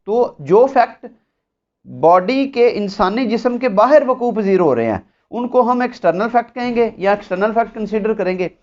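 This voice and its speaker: A man with a Pakistani accent giving an explanatory law lecture, deliberate, often repeating and rephrasing his points. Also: sits high, animated, darkish tone. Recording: dull lo-fi sound.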